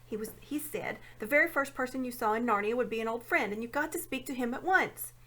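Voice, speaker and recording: A woman's voice: on the high side, quick pace, sharp tone. A woman voicing an insistent, exasperated young schoolgirl in a storybook reading. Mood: desperate, insistent. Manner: insistent, emphatic.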